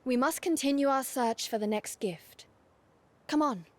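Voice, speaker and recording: An actress playing a solemn, dutiful warrior maiden in a video game, speaking formally and resolutely. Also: female voice, high-pitched, measured pace. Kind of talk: dramatic video game cutscene acting.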